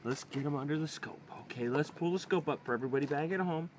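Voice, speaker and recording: A man's voice: a little high, fast talker, darkish tone, highs cut off, a little hiss or hum. A man hosting a casual family coin hunt outdoors, keeping score and explaining finds in an encouraging way. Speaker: coin hobby host. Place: outdoors at a campsite table.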